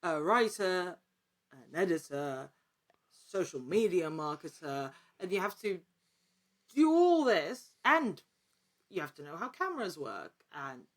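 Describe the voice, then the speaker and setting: A woman's voice: on the low side, very animated, unhurried. A woman chatting casually as an interview guest, an actor talking in a conversational way. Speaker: podcast guest, actor and producer. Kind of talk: casual chat.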